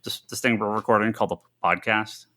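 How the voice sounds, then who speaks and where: A man co-hosting a casual comics podcast, talking haltingly, repeating words and acting out his own reactions. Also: on the low side, steady tone, bright, forceful tone. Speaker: podcast co-host.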